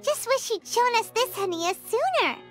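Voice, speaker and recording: A woman voicing a tiny, bubbly, bossy fairy companion in a video game, with a squeaky childlike voice and excitable delivery. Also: moderate pace, music in the background. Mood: cheerful. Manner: excitable, exclamatory, refers to herself by name.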